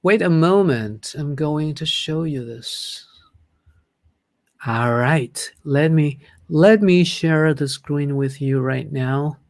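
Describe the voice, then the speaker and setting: A man's voice: fairly high pitch, slow, darkish tone, no room sound, studio quality. A man with a Thai accent, whose first language is Thai, hosting a casual livestream and chatting upbeat with viewers as he reads their comments aloud. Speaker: livestream host.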